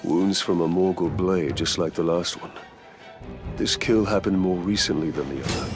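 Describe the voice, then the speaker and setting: A man's voice: deep voice, normal speed, over music, natural voice. A man playing a brooding, determined ranger warrior in a video game, earnest and resolute. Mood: focused. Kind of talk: video game cutscene acting.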